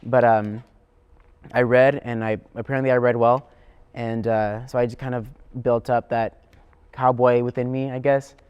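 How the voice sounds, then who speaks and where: A man's voice: medium pitch, little pitch movement, normal speed, clear, resonant tone, muffled recording. A teenage male student actor talking casually in an interview. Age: teens, high school senior.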